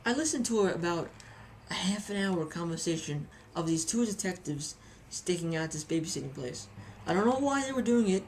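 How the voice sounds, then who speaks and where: A woman's voice: lowish voice, lively intonation, moderate pace, a little mellow, slight background noise, poor audio. A woman giving a casual, chatty hobby review with anecdotes, often repeating phrases for emphasis.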